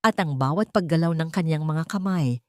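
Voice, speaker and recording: Low-voiced Filipino woman narrating drama. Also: moderate pace, a little mellow, no room sound, clean studio sound. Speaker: storyteller. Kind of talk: scripted dramatic audio story narration.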